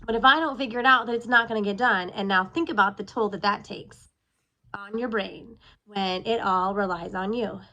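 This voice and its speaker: A sniffly woman in her thirties talks straight to her own camera in a casual check-in. Her pitch sits in an ordinary middle range. She is chatty and candid, and she drifts into tangents. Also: normal speed, pushed and bright, a little hiss or hum, low-quality recording. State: sniffly from allergies. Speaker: military spouse vlogger. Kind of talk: casual talking-head check-in video. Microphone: self-recorded video.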